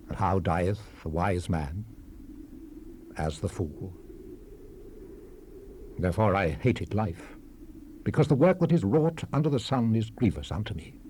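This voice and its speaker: An actor playing a weary, brooding ancient preacher in a dramatized Bible reading, solemn, measured and declamatory, recorded on a hissy old cassette. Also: male voice, fairly low pitch, mellow, lightly acted. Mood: weary, sorrowful. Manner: solemn, measured, declamatory, with falling phrase endings. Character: weary, brooding old-world preacher reflecting on life's vanity. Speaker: scripture narrator. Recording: hissy 1970s cassette rip.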